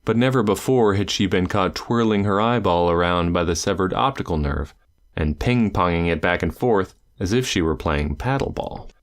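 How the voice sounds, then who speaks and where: A man reading an audiobook aloud, narrating with measured, expressive pacing and dramatic pauses. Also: deep voice.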